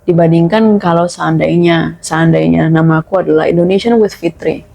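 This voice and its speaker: A young woman in a casual podcast chat as a guest who teaches her language, speaking thoughtfully and pausing to pick her words. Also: on the low side, steady tone, gravelly, mellow. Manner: thoughtful, measured, with frequent pauses and restarts.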